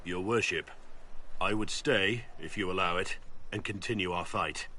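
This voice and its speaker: Very deep, loyal veteran warrior. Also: male voice, normal speed, pushed and bright, a little hiss or hum. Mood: humble, grave. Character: gruff, loyal, humble male veteran warrior. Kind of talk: video game voice acting.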